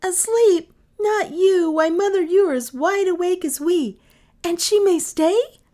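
A woman doing excited little storybook children. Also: a little slow. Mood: pleading. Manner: high, breathless, exclamatory. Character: two excited young children, eager and pleading.

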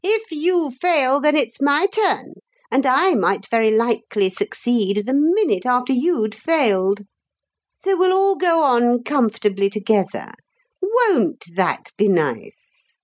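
A woman's voice: sits high, very animated, slow, voice pushed up, full character voice. A woman voicing a smug, bossy grown-up lady villain in a storybook, falsely sweet and condescending. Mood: smug, gloating. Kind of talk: storybook character voice.

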